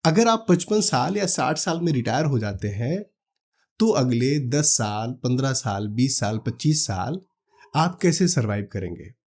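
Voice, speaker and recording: A man from India calmly explaining retirement money planning step by step, talking the listener through numbers and examples. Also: medium pitch, measured pace. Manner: calm, practical, walks through numbers step by step. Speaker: finance educator. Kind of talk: explanatory talk to camera.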